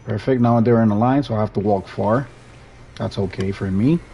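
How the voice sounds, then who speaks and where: Easygoing Puerto Rican man with a mellow voice. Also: on the low side, normal speed, vocal fry, slight background noise. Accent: Puerto Rican Spanish. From Puerto Rico. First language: Spanish. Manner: easygoing, rambling, restarts sentences. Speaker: streamer. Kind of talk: casual gameplay commentary.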